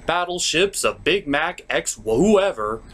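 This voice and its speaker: A man delivers a scripted, jokey commentary with theatrical sarcasm. His pitch jumps around in a lively way, and there is some faint noise in the background. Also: takes it slowly. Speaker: host of a cartoon episode review.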